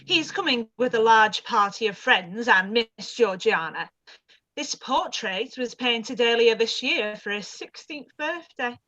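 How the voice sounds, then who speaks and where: Radio play: woman as an elderly housekeeper, proud and talkative, higher voice. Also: lively intonation, unhurried, muffled recording. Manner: chatty, warm, old-fashioned servant speech. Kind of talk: live-read radio play.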